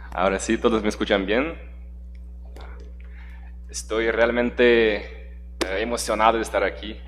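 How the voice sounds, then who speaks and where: Low-voiced man, halting, echoing hall. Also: fairly bright tone. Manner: halting, repeats words, laughs with the audience. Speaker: guest presenter. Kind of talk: conference presentation. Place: large conference hall. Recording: long hall reverb with faint hum.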